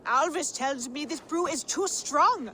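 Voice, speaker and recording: A woman's voice: sits high, a little slow, bright, forceful tone, a little hiss or hum. An actress voicing a teasing female brewer who challenges the hero in a video game. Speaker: game character.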